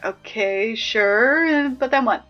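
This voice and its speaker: A woman's voice: a little high, slow, muffled recording, faint music behind. A woman playing a flustered, exasperated teenage school announcer in an audio drama, talking in a hesitant, pleading, rambling way. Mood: uneasy. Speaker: morning announcements host.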